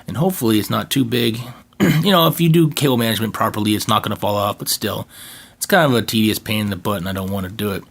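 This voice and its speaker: A man with a raspy voice casually talking through an unboxing, joking to himself, with plenty of fillers and restarts. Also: fairly low pitch, fast. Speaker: channel co-host doing an unboxing. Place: home gaming room.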